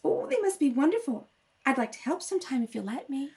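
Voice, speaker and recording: A woman's voice: sits high, very animated, fast, mellow. A woman acting an eager, impulsive young heiress in a radio comedy, gushing and girlish. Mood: admiring.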